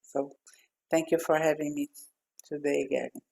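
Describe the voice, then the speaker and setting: A woman in her sixties from Brazil with a Brazilian accent, speaking thoughtfully with pauses as a podcast guest. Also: low-pitched, level tone, creaky, darkish tone. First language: Portuguese. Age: 60s. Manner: slow, thoughtful speech with pauses and fillers. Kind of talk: podcast interview. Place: home office with glass wall, remote.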